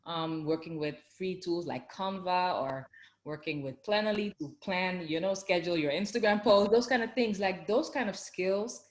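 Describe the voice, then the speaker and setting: A woman from the Netherlands with a Dutch accent, hosting a podcast in a chatty, animated way with many fillers and frequent laughs. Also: fairly low pitch, moderate pace. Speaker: podcast host. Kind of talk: casual conversational chat. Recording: echoey room.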